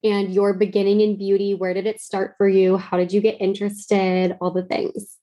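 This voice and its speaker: A woman's voice: mid-range voice, level tone, moderate pace, dark tone. A woman hosting a beauty podcast, upbeat and enthusiastic, moving from a read intro into casual questions. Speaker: podcast host. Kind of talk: scripted intro, then casual interview.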